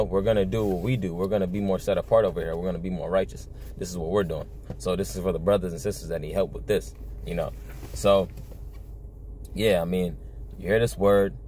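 A man's voice: low voice, a little mellow, slight background noise. A young man talking earnestly to camera in an informal preaching style, repeating himself and often saying "you know", recorded on a phone in a car. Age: young adult. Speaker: religious video blogger. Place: inside a parked car at night.

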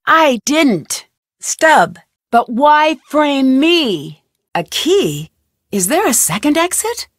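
A woman's voice: high voice, no room sound, exaggerated voice. An actress voicing a young female amateur detective in a studio game, thinking aloud in an inquisitive, lightly wry way. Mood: defensive. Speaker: video game protagonist. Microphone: close studio mic.

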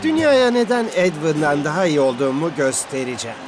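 A man's voice: on the high side, big pitch swings, fairly quick. A man playing a boastful, competitive rival football player in a children's cartoon dub, cocky and defiant. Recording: music behind the voice.

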